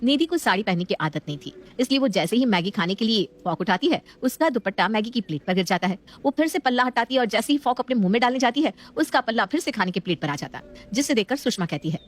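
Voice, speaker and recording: A woman's voice: a little high, expressive, quick pace. A woman reading scripted story narration as the narrator of a family tale.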